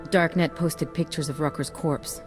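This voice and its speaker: Woman playing a low-voiced, blunt streetwise ally. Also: steady tone, normal speed, close to an everyday voice. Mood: blunt, amazed. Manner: casual, blunt, slangy. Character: streetwise female hacker ally, blunt and concerned. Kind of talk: video game cutscene acting. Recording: music under the voice.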